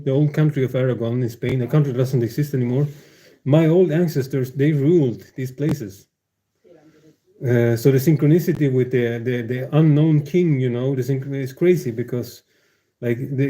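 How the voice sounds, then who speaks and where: A man's voice: mid-range voice, warm tone, rough recording. A man chatting casually on a livestream, meandering and hesitant, with many fillers and restarts and frequent chuckles. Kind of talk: casual rambling stream chat.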